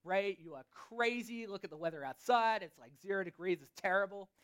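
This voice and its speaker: A man's voice: high-pitched, expressive, a bit bright, clear, resonant tone. An Australian man gives a sermon in an easygoing, conversational manner. He talks in short bursts, leaving room after each one for an interpreter. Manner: casual, chatty, pauses for translation. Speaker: pastor.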